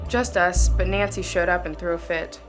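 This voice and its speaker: A woman playing a calm, cool trophy wife in her twenties in a noir radio drama. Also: fairly low pitch, moderate pace, lightly acted. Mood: detached, haughty. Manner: detached, unruffled. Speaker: student voice actor. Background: music.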